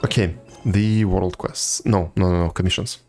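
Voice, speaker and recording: A man livestreaming a video game and chatting casually with viewers, halting now and then and repeating words. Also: low-pitched, fairly even intonation, a little mellow. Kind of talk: casual chat with viewers while gaming. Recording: game music behind the voice. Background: video game music.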